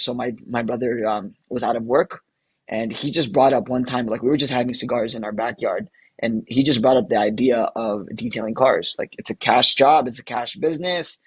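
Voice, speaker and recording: A man from the Philippines, a guest entrepreneur telling long stories in an energetic, casual interview way. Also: medium pitch, slightly rough, dry recording.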